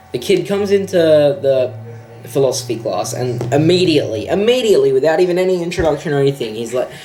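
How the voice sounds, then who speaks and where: A man's voice: mid-range voice, darkish tone, slight background noise. A young man ranting about a film in a sarcastic, profane, exasperated way. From Australia. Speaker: vlogger. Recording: echoey room.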